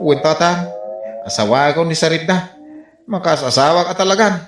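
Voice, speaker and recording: High-voiced, whiny lovesick Filipino man, acted by a man. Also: lively intonation, normal speed, close to an everyday voice. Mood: determined. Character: lovesick, exasperated son desperate for a wife. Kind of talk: Filipino comedy radio drama acting. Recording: roomy echo, faint music.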